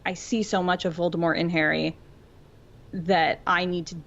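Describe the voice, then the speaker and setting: A woman's voice: lowish voice, little pitch movement, moderate pace. A woman co-hosting a podcast, explaining her thoughts in a casual, reflective way on slightly lo-fi audio. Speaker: podcast co-host. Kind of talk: casual pop-culture discussion.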